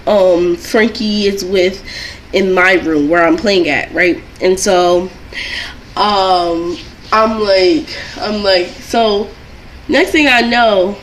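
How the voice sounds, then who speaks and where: A woman's voice: medium pitch, low-quality recording. Young woman doing a chatty storytime, rambles and repeats herself, bit of noise behind her. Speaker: doll collector vlogger telling a story.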